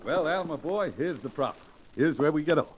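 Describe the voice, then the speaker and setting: An actor playing a greedy, smooth-talking swindler in an old-time radio Western. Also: male voice, a little high, lively intonation, brisk, slight background noise, close to an everyday voice, low-quality recording. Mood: eager, persuasive.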